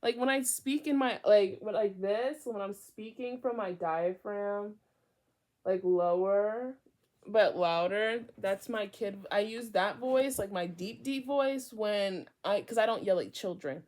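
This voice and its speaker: A young woman from Virginia reading a book aloud in a casual way, often drifting into chatty side remarks, with a high and often loud voice. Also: unhurried. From Virginia, USA. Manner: chatty, digressive, mixes reading with asides. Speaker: book reader. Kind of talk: casual read-aloud with tangents.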